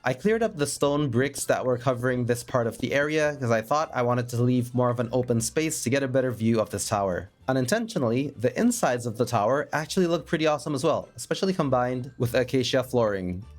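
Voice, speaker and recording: A man narrating his gameplay in a chatty, self-mocking voice-over, a bit raspy from a cold. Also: medium pitch, animated, fairly quick, few pauses. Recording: faint background music.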